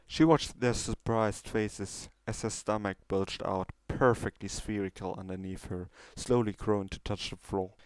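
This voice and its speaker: A man's voice: lowish voice, fairly even intonation, unhurried, few pauses, a little mellow, clean tone, no room sound, poor audio. A man reading a scripted story aloud as its narrator. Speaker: story reader.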